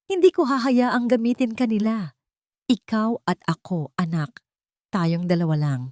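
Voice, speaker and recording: A woman with a Filipino accent voicing a calm, firm, protective wife in a dramatic audio story. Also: mid-range voice, a little slow, no room sound, clean studio sound, voice pushed up, close to an everyday voice. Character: wronged pregnant wife, calm, resolute, protective.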